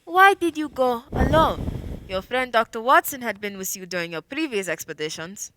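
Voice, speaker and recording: A woman's voice: fairly high pitch, animated, moderate pace, noisy background, lightly acted. A woman playing a commanding female prefect in a radio play, questioning formally and with authority. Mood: formal, probing. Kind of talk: stage-style radio play acting.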